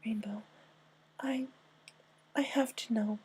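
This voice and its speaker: A woman's voice: a little high, fairly even intonation, slow, a little mellow, poor audio. A woman voicing a grieving, anxious young female pony in a story, with a shaky, tearful, hesitant voice. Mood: fragile, pleading. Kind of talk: dramatic fan-fiction story reading.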